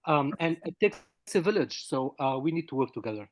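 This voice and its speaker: A man's voice: fairly high pitch, lively intonation, no room sound, muffled recording. Gracious man with an Arabic accent. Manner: earnest, gracious, halting restarts. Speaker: physician, interview guest. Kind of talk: casual video-call interview. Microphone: video call.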